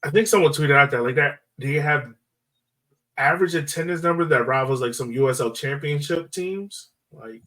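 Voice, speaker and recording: Male podcast host, fairly high voice, chatty and slangy, sports talk, a bit distant from the microphone. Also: normal speed, pushed and bright. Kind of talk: casual sports podcast chat. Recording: voice slightly far from mic.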